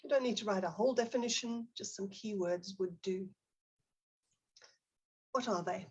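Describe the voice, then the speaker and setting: A woman's voice: medium pitch, normal speed, a little mellow, breathy edge. A woman with a South African accent presents a live online teaching webinar, prompting her audience and reading out their chat replies in a friendly way. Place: home office near Barcelona.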